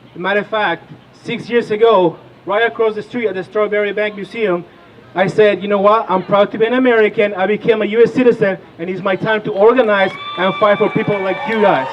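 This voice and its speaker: A man's voice: high-pitched, fairly even intonation, a little fast, dull audio, a little hiss or hum. Rousing Peruvian-born man at a rally. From Peru. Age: 30s. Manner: rousing, asks the crowd questions. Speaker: rally speaker, political organizer. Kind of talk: impassioned rally speech. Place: outdoor rally.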